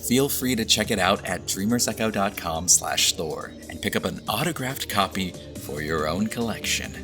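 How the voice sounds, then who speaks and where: A man's voice: on the low side, animated, moderate pace, pushed and bright, soft background music. A man speaking as a podcast's creator and host, giving a scripted message to his listeners.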